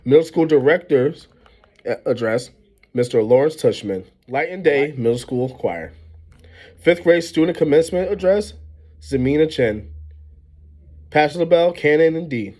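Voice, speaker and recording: A man reading a children's novel aloud in an animated way, as a storyteller acting out the dialogue. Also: fairly high pitch, moderate pace, pauses often. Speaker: reader reading a book aloud.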